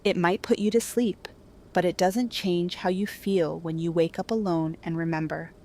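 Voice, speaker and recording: Actress as a blunt, jaded, lowish-voiced woman. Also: steady tone, moderate pace, a little mellow, close to an everyday voice. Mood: cutting, bitter. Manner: blunt, confrontational. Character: hard-bargaining, jaded, cutting captive wife. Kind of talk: audio drama acting.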